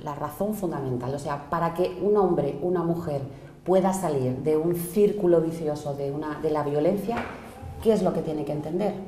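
A woman's voice: sits low, moderate pace, dark tone, slight background noise. A middle-aged woman with a Spanish accent hosting a local TV interview, asking friendly, probing questions indoors. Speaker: TV host. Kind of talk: interviewing and presenting. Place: indoor office room. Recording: echoey room.

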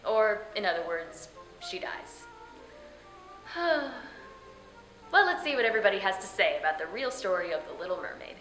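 A woman's voice: medium pitch, lively intonation, normal speed, long pauses, fairly bright tone. A young woman hosting with scripted, theatrical comedic storytelling narration. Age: young adult. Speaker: host. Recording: roomy sound with background music. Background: music.

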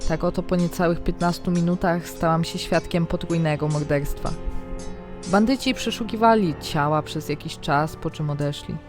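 A woman's voice: on the low side, normal speed. Dramatic female fantasy narrator. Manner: dramatic, expressive storytelling. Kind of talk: scripted first-person fantasy diary narration. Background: background music.

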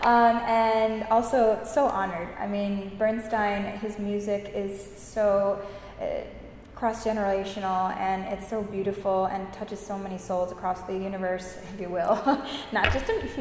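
A woman's voice: medium pitch, steady tone, measured pace. A young woman from the United States talks about singing with bubbly enthusiasm and a ready laugh. Her voice carries a long, roomy reverb from the indoor space around her. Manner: enthusiastic, laughs often, warm gushing praise. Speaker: guest opera singer. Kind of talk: interview answers. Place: room with high windows, indoors. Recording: echoey room, reverb, faint noise.

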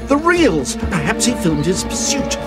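Excitable high-voiced old professor. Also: male voice, measured pace. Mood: agitated, urgent. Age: older. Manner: fussy, urgent, exclamatory. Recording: loud music behind the voice.

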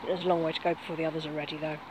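A woman's voice: low voice, level tone, rapid-fire, noisy background. Chatty woman outdoors. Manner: chatty, points things out as she walks. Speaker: vlogger. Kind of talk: casual walk-and-talk vlog. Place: outdoors on a grassy field by bushes. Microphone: phone camera held close.

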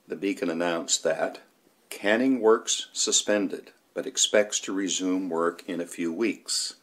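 A man with a very low voice narrates a historical documentary. He reads from a prepared script, delivering old reports as a narrator would.

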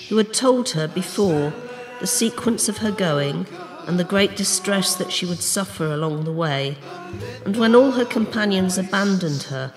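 A narrator giving a measured, solemn dramatic reading of an old religious tale. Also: mid-range voice. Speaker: storyteller, narrator. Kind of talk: dramatic reading of a medieval book, storytelling. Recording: music behind the voice. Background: plucked guitar music.

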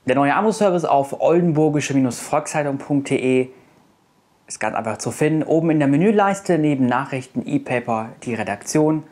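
A man's voice: fairly high pitch, expressive, normal speed, darkish tone. A man with a German accent reads a scripted promotional explainer as a voice-over narrator. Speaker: narrator of a subscription service tutorial. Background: music.

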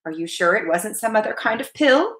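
A woman's voice: medium pitch, expressive, a little fast, a bit bright, reverberant, voice pushed up, natural voice. A woman voicing a fretful, doting mother mouse from a children's storybook, worried and tender.

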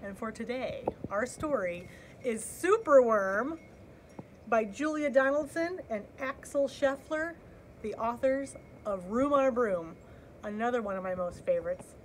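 A middle-aged woman reading a picture book aloud for children in an expressive, dramatic, chanting way. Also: fairly high pitch, unhurried, slight background noise. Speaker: library story time host.

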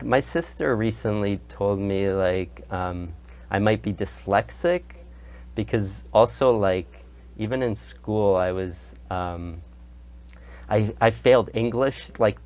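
Slow, halting young man, podcast guest. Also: fairly low pitch, a little mellow. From Poland. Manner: hesitant, many fillers and restarts. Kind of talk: casual interview talk.

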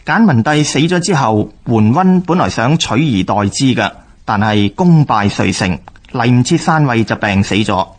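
Male narrator, Hong Kong Cantonese accent, medium pitch, formal, measured phrasing, scripted history radio programme. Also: animated.

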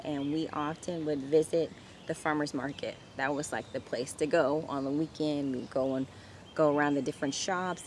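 A middle-aged woman vlogger telling a personal story casually to a camera outdoors. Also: low-pitched. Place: outdoors in a home garden. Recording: clear outdoor ambient noise.

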